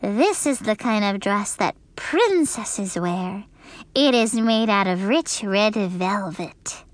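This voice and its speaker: A woman's voice: medium pitch, lively intonation, takes it slowly, no echo. Woman doing a haughty, drawling rich girl. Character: stuck-up rich little girl, vain.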